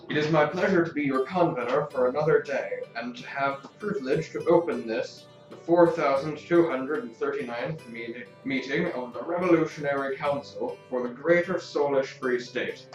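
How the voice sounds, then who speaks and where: A man's voice: mid-range voice, close to an everyday voice. A man playing a pompous, genial council convener in an audio drama, giving a grandiose, formal ceremonial address. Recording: distant, echoey, dull hall sound with music.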